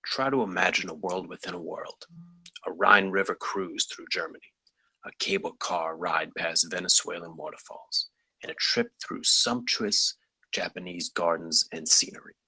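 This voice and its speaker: Man reading as a very deep, husky, sharp pitchman. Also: steady tone, moderate pace, no room sound, exaggerated voice, rough recording. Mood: grand, persuasive. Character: grand, persuasive male pitchman unveiling a park. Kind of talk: screenplay table read.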